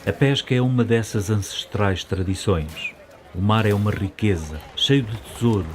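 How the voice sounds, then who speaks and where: A man's voice: fairly low pitch, background noise. A middle-aged man from Portugal narrating a documentary in the first person, in a reflective, nostalgic manner. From Portugal, later living in France.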